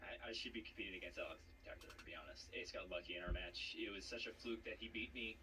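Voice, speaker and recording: A man's voice: low-pitched, fast, recorded from a distance. A man competitor who stammers and insistently repeats himself in back-and-forth show talk. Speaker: trivia competitor. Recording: off-mic with clear background noise.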